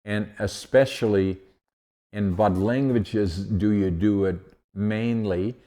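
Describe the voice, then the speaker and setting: Low, mellow-voiced host. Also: male voice, steady tone, slow pace. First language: Dutch. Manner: long, meandering questions. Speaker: podcast host. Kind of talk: conversational interview.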